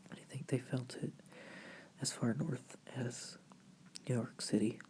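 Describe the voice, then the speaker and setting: A narrator from the United States whispering softly and breathily through an informal, rambling slideshow in ASMR style. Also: medium pitch, steady tone, a little slow. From United States, family from Virginia. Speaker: video creator and host.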